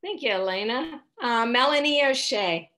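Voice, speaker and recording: A woman moderating an online panel on a video call, speaking in a measured, formal and explanatory manner. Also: on the high side, lively intonation, bright, forceful tone.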